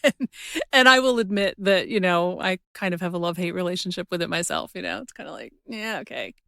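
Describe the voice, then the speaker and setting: A woman's voice: fairly low pitch, animated, brisk, gravelly, sharp tone, no echo, studio quality. A woman hosting a podcast in casual conversation, informal and chatty as she thinks aloud. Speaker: podcast host.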